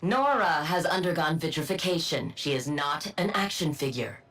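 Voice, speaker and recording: An actress playing a cold, analytical scientist in a video game, speaking in formal, measured phrases. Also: female voice, sits low, expressive, fairly bright tone, a little hiss or hum. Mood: cool, dry. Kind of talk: video game voice acting, dramatic monologue.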